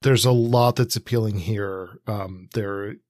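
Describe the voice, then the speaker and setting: A middle-aged man with a fairly low voice and a dry, close sound. He analyzes fiction casually as a podcast co-host, weighing his points in a thoughtful, measured manner. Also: steady tone, darkish tone, no room sound.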